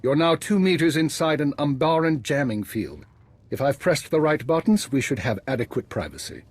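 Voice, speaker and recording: An actor playing an older, retired spymaster in a video game, composed and dry, with measured formal phrasing. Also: male voice, fairly high pitch, expressive, no room sound. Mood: dry, guarded.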